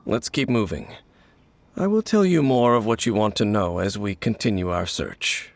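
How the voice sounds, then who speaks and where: An actor voicing a mysterious, grave male wanderer in a video game, speaking in a solemn, measured, matter-of-fact way. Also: on the low side, expressive, gravelly, no echo. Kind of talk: video game cutscene voice acting.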